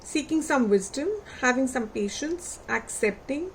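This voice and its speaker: A woman reading tarot cards for her viewers, unhurried and reflective, putting gentle questions to them and losing her voice. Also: high-pitched, expressive, slight background noise, rough recording. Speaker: tarot reader.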